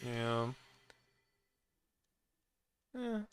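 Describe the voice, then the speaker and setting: A man's voice: mid-range voice, big pitch swings, extremely slow, over music. A man in casual, informal conversation as a co-commentator over video gameplay.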